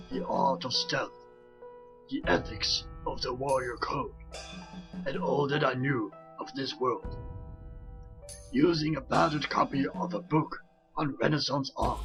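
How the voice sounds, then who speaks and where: A man voicing an aged mutant rat master, solemn and fatherly, narrating a voice comic in a creaky, measured delivery. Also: medium pitch, close to an everyday voice, poor audio. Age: older. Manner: slow, measured, grave storytelling. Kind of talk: scripted narration in a fan voice comic. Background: music.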